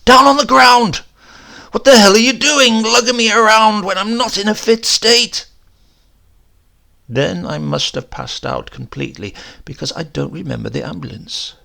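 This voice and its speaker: A man reading a horror story as a shaken, rambling accident survivor, halting and conversational, brooding and prone to outbursts. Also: sits high, creaky, pushed and bright, heavily acted. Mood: anguished, urgent. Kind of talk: dramatic first-person horror story reading.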